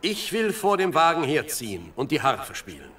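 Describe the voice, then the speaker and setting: A man's voice: fairly high pitch, huge pitch swings, moderate pace, a bit bright, husky, exaggerated voice. An actor playing a devout, ceremonious king in a biblical audio drama, with stately, declamatory delivery. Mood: proclaiming.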